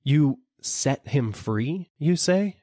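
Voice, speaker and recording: A man voicing a sly little storybook jackal who acts slow-witted, vacant and overly apologetic. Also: fairly high pitch, expressive, mellow, no room sound, studio quality, voice pushed up, heavily acted. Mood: vacant, puzzled. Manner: vacant, apologetic, exaggeratedly puzzled.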